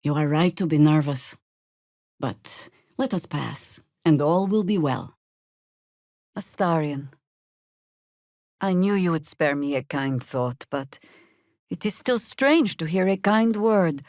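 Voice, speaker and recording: A woman voice actor playing a seasoned, older druid warrior in a video game, wry, stern and proud, with measured, commanding delivery. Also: low-pitched, expressive, a little mellow, no echo, studio quality, heavily acted. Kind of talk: scripted video game dialogue.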